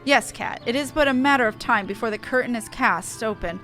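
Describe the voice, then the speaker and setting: A woman's voice: fairly high pitch, a little fast, pushed and bright, faint music behind. A woman voicing an earnest, chatty engineer from a fantasy game, reading the dialogue aloud in formal, old-fashioned phrasing. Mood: confident.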